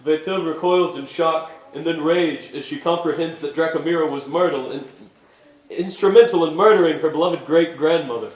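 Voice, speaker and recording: Guy reading stage directions, slightly high voice, roomy echo, scripted storytelling. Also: normal speed. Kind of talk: scripted dramatic narration. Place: indoor stage at an arts venue. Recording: echoey stage room.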